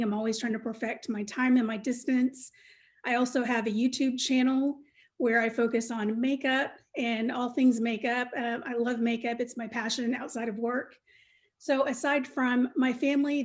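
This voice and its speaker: A middle-aged American woman from Texas talking conversationally as a panelist. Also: fairly high pitch. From United States, Texas.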